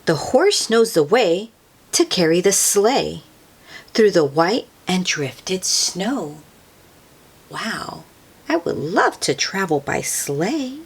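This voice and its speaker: Female children's read-aloud host: unhurried, lively pitch, a touch breathy, sing-song and engaging the listener. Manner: sing-song, asks the listener questions with soft exclamations. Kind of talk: expressive picture-book read-aloud for young children.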